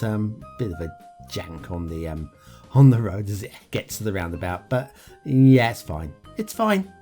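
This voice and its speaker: A chatty man narrates a review of a city-building map, his voice swinging lively up and down as he chuckles and trails off into ums. Faint music plays underneath him. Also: mid-range voice. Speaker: game map reviewer, show host. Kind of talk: casual gameplay commentary.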